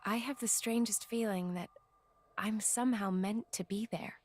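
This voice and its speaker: A woman's voice: medium pitch, steady tone, moderate pace, darkish tone, natural voice. A woman playing a serene, dutiful mystic in a video game, speaking politely and with composure. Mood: calm, resolved.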